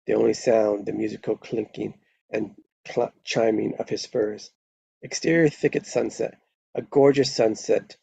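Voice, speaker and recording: A man's voice: on the low side, gravelly, dark tone, slightly rough, dry recording. A man reading stage directions as narrator at a live screenplay table read, on a dull lo-fi microphone.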